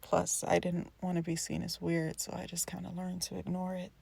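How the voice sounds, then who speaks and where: Woman acting hesitant, earnest young friend, mellow and creaky. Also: on the low side, completely flat delivery, moderate pace, exaggerated voice. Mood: vulnerable, halting. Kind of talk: scripted character dialogue, naturalistic.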